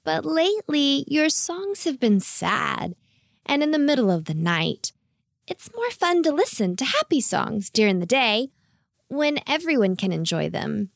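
An eager young storybook girl, acted out by a woman, pitched high and closely recorded. She is caring and cheerful, full of expressive enthusiasm. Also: a little slow, vocal fry, dry recording, studio quality, full character voice. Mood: caring, sweet. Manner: bright-spirited, expressive, enthusiastic. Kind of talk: children's storybook character voice.

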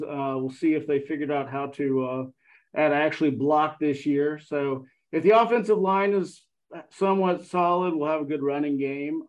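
A man's voice: on the high side, a little mellow, rough recording. A man speaking as a guest on a sports podcast, rambling with many fillers. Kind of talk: casual sports chat.

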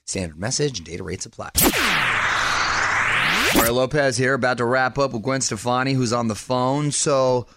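A man's voice: medium pitch, no room sound, studio quality. Chatty radio host man. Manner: chatty, reads promos briskly. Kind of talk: upbeat radio banter. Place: radio studio.

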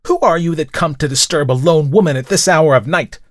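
A man voicing a sharp-tongued, indignant wife who scolds in a storybook tale. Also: a little high, a little fast, no echo, voice pushed up, natural voice.

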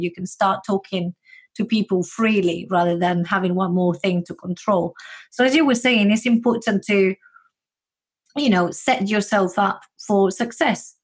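A woman with a Spanish accent, giving earnest, encouraging advice in a casual podcast chat, with frequent fillers. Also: medium pitch. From Spain.

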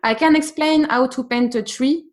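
A woman's voice: sits high, normal speed. French-accented woman, earnest and searching for words. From France. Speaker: art teacher, guest. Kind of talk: casual conversation.

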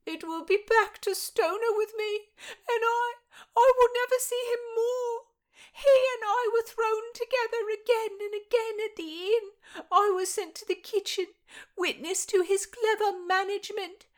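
A woman voicing a tearful, lovestruck young maidservant in a storybook reading, with a high theatrical delivery. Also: slow. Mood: tearful, lovesick.

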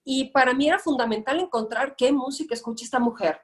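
A young adult Mexican woman, an actress guest, speaking in a fairly high voice. She talks in relaxed podcast chat, thoughtful and measured, often starting with small hesitations. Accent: Mexican Spanish. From Guadalajara, Mexico. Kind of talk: casual podcast interview.

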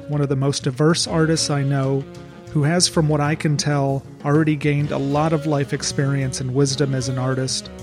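A man hosting a podcast who introduces his guest and asks relaxed, curious questions. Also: fairly high pitch. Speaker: podcast host. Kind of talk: podcast interview.

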